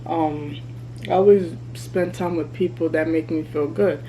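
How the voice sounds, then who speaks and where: A woman in her thirties talking candidly to her vlog camera while she eats, chatty and relaxed. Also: sits low, unhurried, a little hiss or hum, rough recording. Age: 30s. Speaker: vlogger. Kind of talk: casual mukbang vlog chat. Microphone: camera mic.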